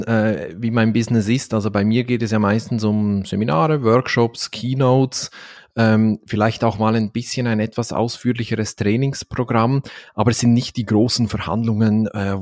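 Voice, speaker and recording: A man's voice: lowish voice, a little mellow, dry recording, clean studio sound. A man from Valais in Switzerland with a Swiss Standard German accent, a trainer answering interview questions thoughtfully, with frequent fillers. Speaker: seminar trainer and speaker. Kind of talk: podcast interview.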